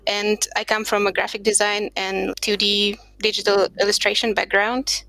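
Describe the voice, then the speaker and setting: A woman's voice: mid-range voice, vocal fry, bright, forceful tone, dry recording, poor audio. A woman from Russia who is a podcast guest, talking through her career in a reflective, chatty way, recorded at a home desk surrounded by books. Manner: reflective, chatty, light laughs. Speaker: podcast guest, product designer. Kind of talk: casual interview chat.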